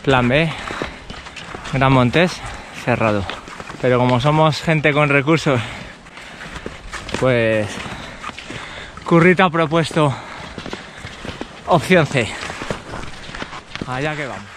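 A man's voice: mid-range voice, slow, pauses often. A man from giving casual commentary as he films outdoors on a mountain. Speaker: vlogger filming a ski tour. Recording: noisy outdoor action camera recording.

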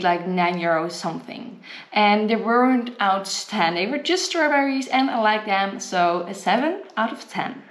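A young woman chatting casually in a home food-tasting vlog, giggly and self-deprecating, filmed at arm's length in her kitchen. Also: mid-range voice. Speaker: vlogger. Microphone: camera at arm's length. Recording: echoey room.

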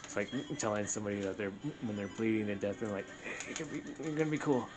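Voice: mid-range voice, quick pace. A gaming host giving casual let's play commentary, grumbling in exasperation with mild swearing. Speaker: gaming channel host playing the game. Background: video game music.

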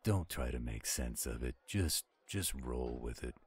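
A man's voice: extremely low pitch, animated, a little slow, slightly rough, exaggerated voice. An actor playing a laid-back, teasing male werewolf with an easygoing drawl in an audio roleplay. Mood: calm, reassuring.

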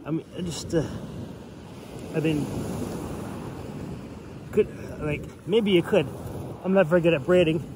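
Halting man over loud wind noise. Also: fairly high pitch. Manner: hesitant, halting, rambling. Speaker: writer and hobbyist reviewer. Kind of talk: casual product show-and-tell. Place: outdoors on a beach shore. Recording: outdoor wind and water noise.

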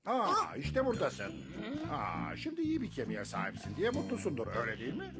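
An actor in a cartoon dub playing a muddled, excitable grown man, dotty and fussing, given to exclaiming and cooing. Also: on the high side, brisk. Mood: fussy, chirpy. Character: muddled, excitable grown man who thinks he's a bird. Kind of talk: animated cartoon dub acting. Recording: loud music behind the voice.

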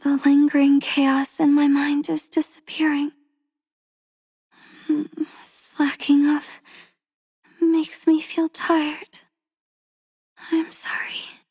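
A woman voicing a gentle, devoted young woman soldier in a game, speaking softly and tenderly with frequent breathy whispers, recorded on a close studio mic. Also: high voice, completely flat delivery, slow, long pauses, no room sound. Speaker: voice actress for a game character. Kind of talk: game character voice lines.

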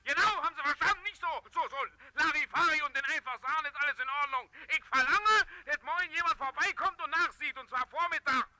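A man's voice: very high voice, fast talker, hardly any pauses, background noise. An actor in a 1960s German radio play voicing a pompous, irritable man who blusters and sputters on the telephone. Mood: indignant. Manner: blustering, sputtering, repeats himself.

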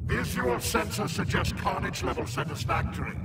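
Stilted, gravelly vengeful robot assassin. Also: male voice, high voice, animated, moderate pace, recorded from a distance, heavy background noise. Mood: stilted, analytical. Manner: stilted robotic diction, reads punctuation and stage directions aloud. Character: vengeful cyborg assassin, human brain in a robot body. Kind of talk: video game cutscene acting.

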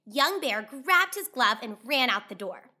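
A woman in her thirties hosting a children's read-aloud, narrating expressively with clear, deliberate delivery. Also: fairly high pitch, big pitch swings, bright, forceful tone.

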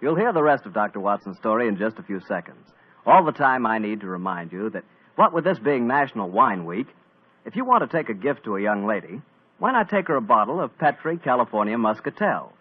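A man announcing for an old radio drama, with a bright, salesy delivery. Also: medium pitch, very animated, fairly quick, breathy edge. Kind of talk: scripted announcing. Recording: tinny 1940s radio broadcast.

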